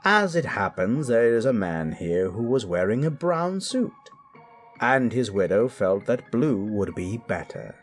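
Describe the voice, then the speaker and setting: A man's voice: lowish voice, sing-song, a little slow, soft background music, pitched above the performer's own voice. A man voicing a courteous, breezy male undertaker in a macabre storybook tale. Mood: cheerfully obliging.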